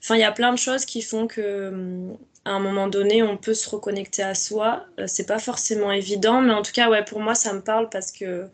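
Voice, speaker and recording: Mid-pitched woman, casual and reflective. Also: steady tone, a little fast. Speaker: guest, yoga teacher and wellness coach.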